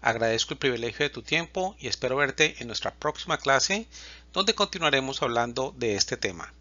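A man teaching a Spanish lesson, reading example questions and answers slowly and distinctly. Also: mid-range voice, lively intonation, pushed and bright, dry recording, dull audio. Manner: reads questions and answers slowly and distinctly, pausing between lines. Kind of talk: slow, clear language lesson reading example dialogues.

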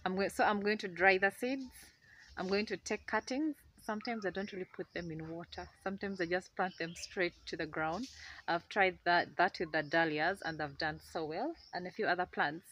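A young Ugandan woman with a Ugandan accent, chatting excitedly to a phone camera in her outdoor garden. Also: on the low side, moderate pace. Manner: excitable, chatty, laughs often. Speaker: gardening vlogger. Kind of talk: casual chat to camera. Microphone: phone camera held close.

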